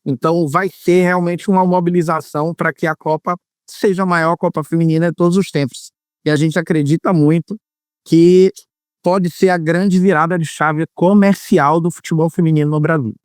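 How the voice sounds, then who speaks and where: Measured man from Bahia, smooth voice. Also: fairly high pitch, steady tone, no room sound, studio quality. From Salvador, Bahia, Brazil. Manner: measured, explanatory, many hesitations. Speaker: former marketing executive, guest. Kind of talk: interview answers.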